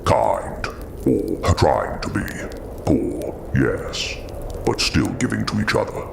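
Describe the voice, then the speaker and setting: A haunted giant creature for an audio drama, super low and slow, gentle but weary, noisy background. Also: male voice, wildly swooping pitch, vocal fry, heavily acted. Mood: wistful. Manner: slow, weighted, halting delivery.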